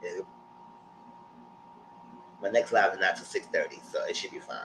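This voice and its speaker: A man's voice: low voice, steady tone, normal speed. A man hosting a livestream with casual, informal commentary, speaking directly to his viewers. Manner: informal, addresses viewers as y'all. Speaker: livestream host. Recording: loud background noise and hum.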